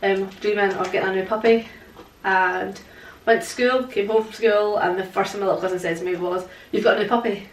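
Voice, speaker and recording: A woman's voice: medium pitch, fairly even intonation, a little fast, few pauses, slight background noise. A young woman, answering interview questions hesitantly with plenty of fillers from a living room sofa. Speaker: guest, paranormal investigator. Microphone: far camera. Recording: echoey room from a distance.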